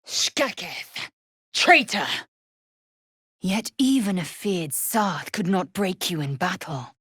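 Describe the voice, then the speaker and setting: A woman playing a fierce, proud, disdainful young githyanki warrior in a video game, speaking in a husky, breathy, imperious and clipped way. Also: fairly low pitch, sing-song, fairly bright tone, no room sound, studio quality, heavily acted. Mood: furious, then stern. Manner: imperious, clipped, deliberate, formal.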